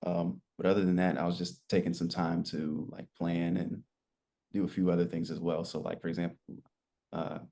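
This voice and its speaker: A man in his twenties telling his story as a podcast guest, relaxed and rambling, with lots of fillers. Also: low voice, moderate pace. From United States. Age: 20s. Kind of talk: casual interview chat.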